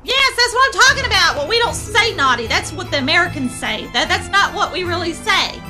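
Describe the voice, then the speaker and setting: A performer voicing a small, defensive Christmas elf with a squeaky, childlike voice and sassy, folksy manner in a comedy dub, over music. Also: lively intonation, fairly quick. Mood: touchy. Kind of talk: amateur comedy game dub. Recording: music playing behind the voice.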